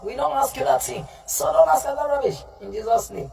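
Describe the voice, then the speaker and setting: A woman's voice: sits high, fairly quick, creaky, warm tone. A woman preaching on a livestream in a confrontational, scolding way, mixing in Pidgin and repeating herself, recorded at a distance from the microphone. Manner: mixes in Pidgin. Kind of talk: fiery informal sermon, answering live comments.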